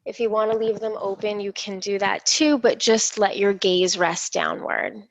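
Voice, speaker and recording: A woman leading a guided imagery meditation in a soothing, unhurried, reassuring manner. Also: mid-range voice, poor audio. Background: gentle instrumental music.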